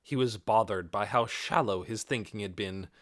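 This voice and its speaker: A man reading scripted fantasy narration as an audiobook narrator, expressive and dramatic, with measured, deliberate phrasing. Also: lowish voice, dry recording, studio quality.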